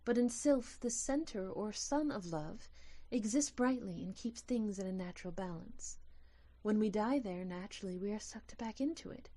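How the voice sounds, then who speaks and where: Woman doing a fragile glass sylph for an audio drama, highish mellow voice, calm and formal. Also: natural voice. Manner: formal, measured, matter-of-fact. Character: delicate glowing female glass sylph, calm and earnest.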